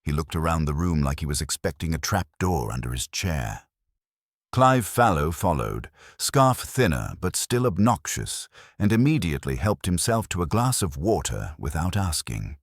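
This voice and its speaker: A man's voice: a bass voice, sing-song, moderate pace, no room sound, studio quality. A man reading a first-person detective story as a scripted narration. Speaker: audiobook narrator.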